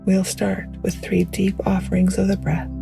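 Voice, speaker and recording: A woman guiding a meditation in a gentle, hushed, poetic style, with a soft, breathy voice that often nears a whisper. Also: mid-range voice, monotone, music in the background. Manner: gentle, hushed, unhurried, soothing. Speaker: meditation guide. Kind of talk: slow poetic guided meditation narration.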